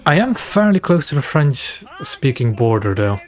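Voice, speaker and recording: A man's voice: mid-range voice, normal speed. Flemish man casually streaming a game. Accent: Flemish Dutch. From West Flanders, Belgium. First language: Dutch. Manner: relaxed, rambling, answers chat between game calls. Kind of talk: casual gameplay stream commentary.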